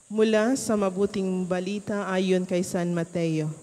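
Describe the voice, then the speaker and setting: A woman leading rosary prayers and reading the gospel in a soft, measured, reverent way. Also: on the low side, steady tone, in a large hall. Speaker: prayer leader and gospel reader. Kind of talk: slow devotional rosary and scripture reading. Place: church.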